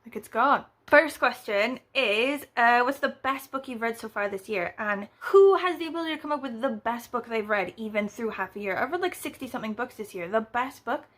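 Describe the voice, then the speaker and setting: A woman's voice: on the high side, lively intonation, brisk, hardly any pauses, fairly bright tone. Rambly British woman with casual swearing. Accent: British English. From United Kingdom. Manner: rambling, swears freely, lots of "like" fillers. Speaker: book vlogger. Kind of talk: casual chatty book review vlog. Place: at home, indoors.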